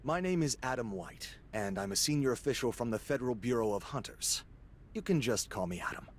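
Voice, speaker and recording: A man playing a smooth, confident government agent, courteous and persuasive, in a game cutscene. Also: medium pitch, animated, fairly quick, a little hiss or hum, natural voice. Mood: confident, courting. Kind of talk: video game cutscene acting.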